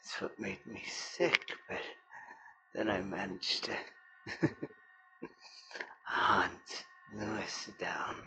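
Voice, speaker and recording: Horror audio roleplay role: male actor as a sinister, starving cannibal friend, low-pitched, halting and half-whispered, over noticeable ambient noise. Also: bright, forceful tone, heavily acted, low-quality recording. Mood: predatory, pleading.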